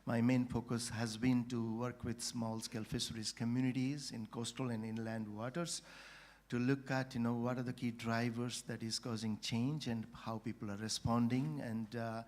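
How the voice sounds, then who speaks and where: A man's voice: mid-range voice, level tone, moderate pace, darkish tone. A man speaking as a researcher on a conference panel, leaning on "you know" and trailing off at the ends of points. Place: conference hall.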